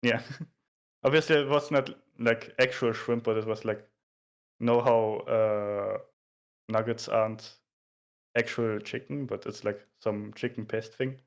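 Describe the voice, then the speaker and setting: A guest on a stream chatting casually, rambling and hesitant, often pausing with fillers and laughing softly. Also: mid-range voice, steady tone. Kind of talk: casual chat.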